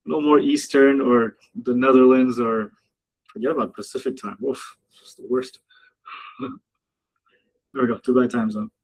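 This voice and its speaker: A man with a gravelly, husky voice runs a relaxed live session on the markets. He jokes with his viewers and laughs often. His talk wanders easily from the charts to small talk. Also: medium pitch, poor audio. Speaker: trading mentor hosting a live session. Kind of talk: casual live-stream commentary. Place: home office in Dubai.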